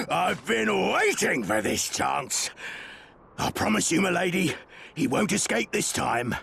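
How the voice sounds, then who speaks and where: An unhinged, servile henchman, high for a male and extremely animated, swinging about in pitch. He draws out his words with a gravelly creak, vengeful and theatrical in game-cutscene style. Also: takes it slowly, a little hiss or hum, heavily acted. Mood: manic glee. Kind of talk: dramatic video game cutscene acting.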